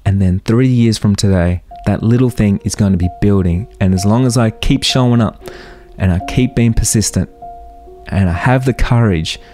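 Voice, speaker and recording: A man's voice: fairly low pitch, warm tone. A man in his thirties hosting a solo motivational podcast, talking earnestly and firing off rhetorical questions. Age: 30s. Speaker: podcast host.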